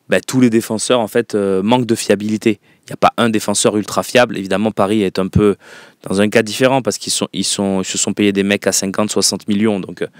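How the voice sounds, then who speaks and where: A man's voice: fairly low pitch, clear, resonant tone, dry recording, clean studio sound. Opinionated man hosting a football phone-in. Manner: opinionated, emphatic, many fillers. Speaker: radio call-in show host. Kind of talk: casual football phone-in talk.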